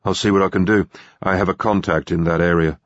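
A man voicing a self-assured hired investigator in an audiobook, speaking briskly and directly in a businesslike way. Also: sits low, fairly even intonation, no echo, lo-fi sound, close to an everyday voice.

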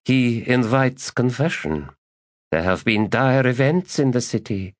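A man's voice: medium pitch, animated, a little slow, dry recording, clean studio sound, voice pushed up, close to an everyday voice. A male narrator voicing an elegant, disdainful noblewoman with a languid, weary manner, in an audiobook. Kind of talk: audiobook character voice.

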